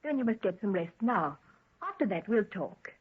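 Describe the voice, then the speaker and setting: An actress playing an anxious, devoted young fiancée in an earnest, pleading golden age radio drama style. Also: female voice, mid-range voice, sing-song, normal speed, breathy edge. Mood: soothing. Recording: tinny band-limited vintage radio recording.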